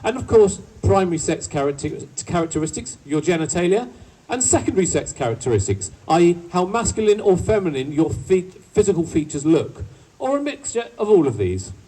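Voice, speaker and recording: A husky speaker gives a lively protest speech outdoors, tossing in playful asides to the listeners. The voice is picked up from a distance, with a faint crowd in the background. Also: mid-range voice, fairly quick, hardly any pauses. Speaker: rally speaker. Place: outdoor town square rally.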